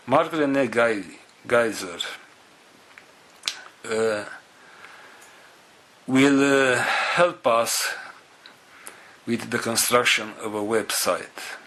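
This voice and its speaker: Slow, halting man with a bright voice. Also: mid-range voice, a little hiss or hum. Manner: halting, broken into short phrases with pauses; non-native English phrasing. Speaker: spiritual group organizer. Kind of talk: organizational announcement to a group.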